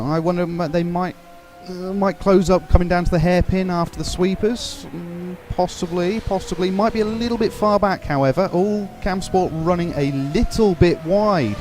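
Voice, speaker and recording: Guy co-commentating a race, smooth voice, a bit high, careful and hesitant. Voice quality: smooth, announcer-like voice.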